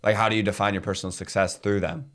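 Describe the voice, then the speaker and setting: A man's voice: fairly low pitch, level tone, quick pace, fairly bright tone. A man co-hosting a podcast who chats in a laid-back, offhand way. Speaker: podcast co-host. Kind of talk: casual podcast chat.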